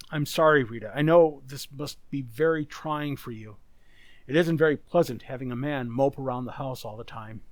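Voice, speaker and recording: A man playing a confused, forgetful, apologetic husband with halting speech in a radio mystery. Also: medium pitch, no room sound, close to an everyday voice. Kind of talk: old radio mystery acting.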